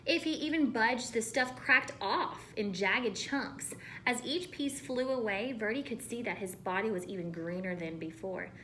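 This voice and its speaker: A woman reading a storybook aloud to children as a teacher, expressive and pausing to ask questions. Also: fairly high pitch, a little hiss or hum, rough recording.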